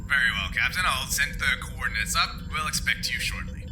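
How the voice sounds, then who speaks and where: A man voicing an older Klingon administrator in a tabletop game, courteous and authoritative, speaking in a formal, measured, diplomatic way. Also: medium pitch, expressive, close to an everyday voice. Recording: music playing behind the voice.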